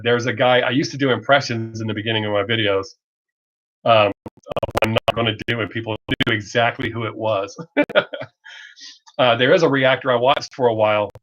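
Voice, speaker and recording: A man's voice: mid-range voice, a bit bright. A middle-aged man with a Southern American accent and a drawl he talks over, chatting casually and bluntly on a livestream, rambling and joking. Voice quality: Southern drawl masked by fast talk. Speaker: reaction video creator hosting a live stream. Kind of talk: casual livestream chat, off the cuff. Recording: dull lo-fi livestream audio.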